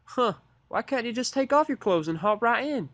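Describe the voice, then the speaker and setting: A man's voice: very high-pitched, expressive, moderate pace, a bit bright, no echo, highs cut off, pitched above the performer's own voice, heavily acted. A man voicing an eager, earnest young female pony with folksy country phrasing in an audio fan-fiction reading. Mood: curious.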